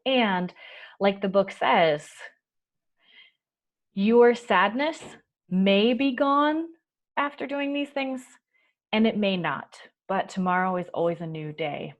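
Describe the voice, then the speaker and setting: A woman hosting a children's storytime, reading and explaining in a soft-spoken, reassuring, measured way. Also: medium pitch, animated, dull audio. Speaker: children's library storytime host. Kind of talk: gentle picture-book reading and explaining to children.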